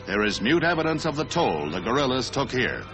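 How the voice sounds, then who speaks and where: A man's voice: mid-range voice, sing-song, a little fast. A man with an American accent reading scripted narration in a formal, declamatory 1960s newsreel announcer style. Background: music.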